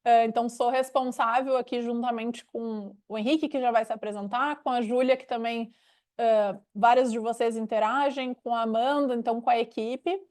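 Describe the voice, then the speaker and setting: Woman, gaúcho Brazilian accent, fairly high voice, conversational webinar host, explanatory. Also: steady tone, moderate pace, darkish tone. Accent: gaúcho Brazilian Portuguese. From Rio Grande do Sul, Brazil. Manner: didactic, explanatory. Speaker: webinar host, director of a knowledge unit. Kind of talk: conversational presentation.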